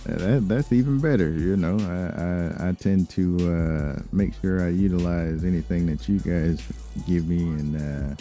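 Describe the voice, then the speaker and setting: A man playing a calm, smooth crime-boss lawyer in an improvised game roleplay, talking in an unhurried, businesslike way with pauses. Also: low-pitched, soft background music. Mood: relaxed, businesslike. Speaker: gaming streamer playing a character.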